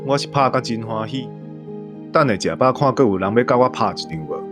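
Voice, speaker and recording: A man's voice: medium pitch, expressive, fairly quick, music in the background, natural voice. A man playing an eager, boastful, fight-loving father in a radio drama. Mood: eager, boisterous.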